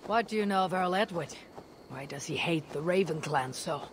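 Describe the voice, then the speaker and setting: Woman acting a proud, husky young Viking warrior. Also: lowish voice, noisy background, lightly acted. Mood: confident, grim. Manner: stoic, deliberate, formal. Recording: faint game ambience behind.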